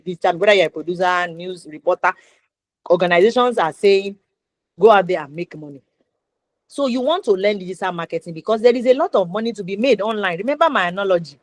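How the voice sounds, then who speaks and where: A woman's voice: medium pitch, no room sound. Nigerian woman, an emphatic interview host. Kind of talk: conversational interview with summarising advice.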